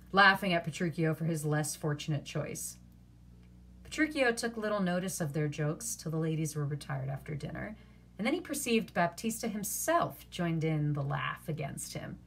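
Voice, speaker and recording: A woman's voice: fairly low pitch, a bit bright. A young woman reading a story aloud expressively, as an actor does at storytime. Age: young adult. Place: small bedroom, indoors.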